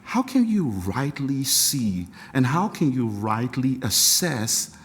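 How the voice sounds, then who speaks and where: A middle-aged man from Nigeria with a Nigerian accent, a music educator chatting as a podcast guest, reflective and animated, laughing often as he tells stories. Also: mid-range voice, slow. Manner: reflective, animated storyteller with frequent laughs and restarts. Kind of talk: casual interview talk.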